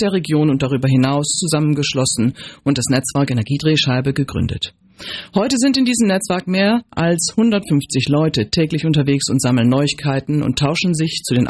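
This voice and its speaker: A woman's voice: sits low, a little mellow, breathy edge, no room sound, clean studio sound. A woman presenting a community radio programme from a script as its host. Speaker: radio host, environmental association chairwoman.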